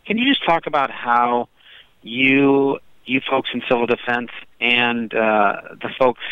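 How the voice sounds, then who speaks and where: Smooth low-voiced male reporter, dull phone sound. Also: no room sound. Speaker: news reporter asking a question. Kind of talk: press question on a conference call. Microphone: telephone conference call. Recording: dull, muffled phone line.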